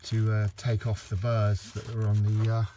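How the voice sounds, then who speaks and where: A middle-aged man with a low voice, rambling through a casual hands-on garden demonstration with lots of restarts. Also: monotone, moderate pace, very noisy. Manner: rambling, with many restarts and fillers. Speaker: DIY vlogger. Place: outdoors in a garden.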